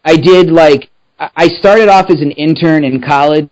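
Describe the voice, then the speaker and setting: A man in his thirties, co-hosting a podcast from his house. He speaks earnestly and a little haltingly, repeating words as he finds them, on dull lo-fi audio. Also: fairly high pitch, a little mellow. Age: 30s. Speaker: podcast co-host. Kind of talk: casual interview chat.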